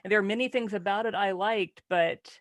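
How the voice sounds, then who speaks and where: A woman's voice: medium pitch, fairly even intonation, a little fast, no echo, studio quality. A woman taking part in a casual book discussion over a video call, speaking in a wry, emphatic way with some chuckling. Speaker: podcast panelist.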